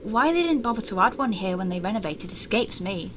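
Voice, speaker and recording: A woman's voice: medium pitch, animated, fairly quick, noisy background, lightly acted. A woman voicing an anxious, superstitious young estate agent in a horror visual novel, with a dramatic, uneasy manner. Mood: uneasy, narrating. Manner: dramatic, uneasy inner monologue. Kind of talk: visual novel voice-over reading.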